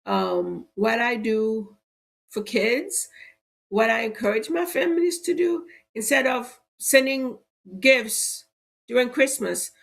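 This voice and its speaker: Stuffy-voiced woman with gravelly tone. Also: a little high, slow. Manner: persuasive, repeats "right?" as a tag. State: stuffy and slightly cracky from allergies. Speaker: insurance agent hosting a live show. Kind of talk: live-stream sales talk.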